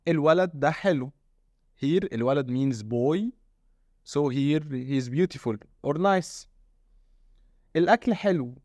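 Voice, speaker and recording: A man's voice: fairly high pitch, animated, brisk, pauses often, darkish tone, no room sound. A man from Egypt with an Egyptian Arabic accent, a native Arabic speaker, teaching his dialect step by step and asking guiding questions. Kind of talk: casual language lesson.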